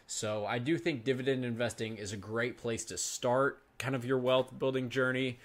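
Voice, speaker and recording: A man's voice: mid-range voice, fairly even intonation, moderate pace. A man in his twenties giving casual reaction commentary as a finance video host, chatting to his viewers and calling them "guys" often. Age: 20s.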